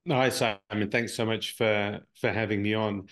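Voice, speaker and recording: A man's voice: lowish voice, steady tone, moderate pace, fairly bright tone. A Brazilian-born man with an English accent, Portuguese his first language, answering interview questions thoughtfully as a guest, often repeating words while he finds his phrasing. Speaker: guest, marketing executive.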